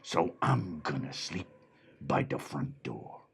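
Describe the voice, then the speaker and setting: A man voicing a kindly, gruff guard dog in a husky, steady storybook voice. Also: extremely low pitch, takes it slowly, pitched below the performer's own voice, heavily acted.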